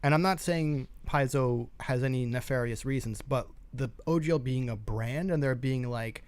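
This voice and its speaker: A man with a medium-pitched voice talks casually on a panel. He reasons aloud in a skeptical, questioning way, explaining as he goes. The recording is very dry. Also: normal speed, clear, resonant tone, no room sound. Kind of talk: casual discussion.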